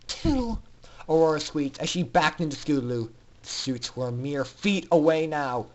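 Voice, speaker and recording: A man's voice: a little high, moderate pace. A man narrating fan fiction in a theatrical, intense style, as a dramatic story reader.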